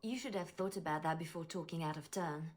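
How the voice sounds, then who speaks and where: A woman's voice: low-pitched, fairly quick, close to an everyday voice. An actress playing a playful, confident young female bard and rogue in a game cutscene. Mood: amused, cool. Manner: playful, cool, assured. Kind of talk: video game cutscene acting. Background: music.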